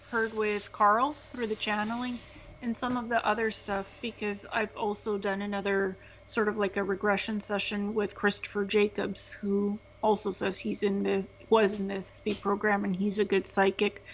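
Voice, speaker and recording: A woman's voice: mid-range voice, slight background noise. A woman from Ukraine speaking as a guest in an interview, talking earnestly and restarting her phrases. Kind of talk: conversational interview testimony. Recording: slightly dull, lo-fi sound.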